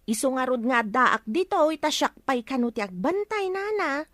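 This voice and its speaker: Brief: young Ilocano store girl, high bright voice, naive and literal, radio comedy. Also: very animated, normal speed, no room sound. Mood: innocent, puzzled.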